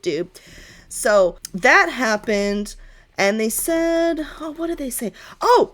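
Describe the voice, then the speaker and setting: A woman playing a breezy, easily distracted young gamer in improvised tabletop roleplay, talking in slangy, gushing rambles. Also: fairly high pitch.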